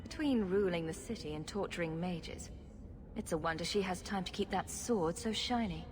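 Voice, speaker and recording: A woman's voice: fairly low pitch, normal speed, lightly acted. A woman playing a witty, flippant heroine in a video game, sarcastic and breezily confident. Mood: teasing. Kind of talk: video game cutscene acting. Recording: long reverb, faint background noise.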